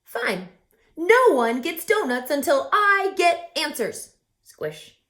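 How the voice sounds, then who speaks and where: A woman's voice: sits high, big pitch swings, slow, a bit bright, pitched above the performer's own voice, full character voice. A woman voicing an indignant male bear who accuses his friends in a huffy, dramatic way. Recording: roomy echo.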